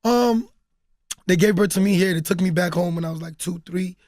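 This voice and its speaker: Relax and talk quickly, a guest from Nigeria fumbling a little with ums, voice high, close and dry on the mic. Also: male voice, darkish tone, no echo. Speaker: interview guest. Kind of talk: relaxed interview answers.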